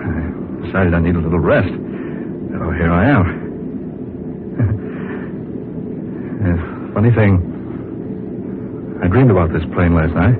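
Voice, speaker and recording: Low-voiced, furtive farmer on the run. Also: male voice. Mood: uneasy, evasive. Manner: halting, muttering, turning frantic. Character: nervous, furtive farmer, a fugitive fleeing by plane. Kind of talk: 1940s radio acting. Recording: noisy muffled vintage radio recording, engine drone.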